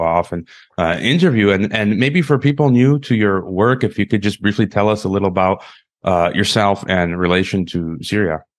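A man hosting a podcast interview, introducing a guest and asking questions in a friendly, halting way. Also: on the low side. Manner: friendly, halting, many "uh" fillers. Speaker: podcast host.

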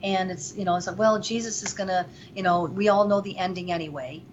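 A woman's voice: mid-range voice, fairly even intonation, brisk. A woman from Venezuela speaking as an interview guest, earnest and rambling with many fillers. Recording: dull lo-fi with faint noise.

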